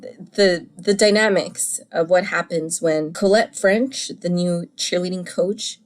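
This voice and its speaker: A woman hosting a solo podcast, chatting in an animated, casual way, a little congested and coughing after a cold. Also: on the low side. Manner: chatty, animated, laughs and restarts often. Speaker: podcast host.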